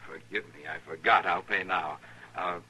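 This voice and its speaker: An actor playing a polite, musing, absent-minded gentleman, mannered and deliberate, in an old radio western. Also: sits low, breathy edge, full character voice. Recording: faintly noisy old radio recording.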